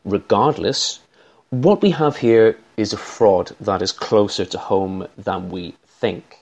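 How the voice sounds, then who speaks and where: Irish man, low-pitched, formal and measured, analyst reading a prepared commentary. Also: vocal fry, darkish tone, highs cut off.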